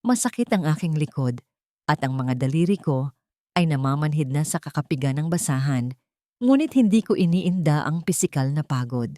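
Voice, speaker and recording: A woman's voice: low-pitched, expressive, moderate pace, warm tone, no room sound, clean studio sound. A woman narrating a scripted first-person audio drama in an emotive, theatrical manner.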